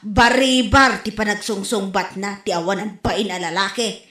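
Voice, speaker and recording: A woman's voice: medium pitch, steady tone, moderate pace, a bit bright, lightly acted. A woman playing an exasperated, nagging wife in an Ilocano comedy audio drama, complaining and scolding. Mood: weary complaint. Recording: echoey room.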